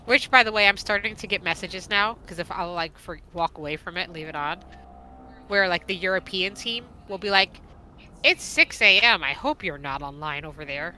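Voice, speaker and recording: A woman's voice: lowish voice, normal speed, pushed and bright, slight background noise, poor audio. A woman with a stuffed-up nose, chatting playfully while gaming at home. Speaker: co-player. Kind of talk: casual gameplay chat.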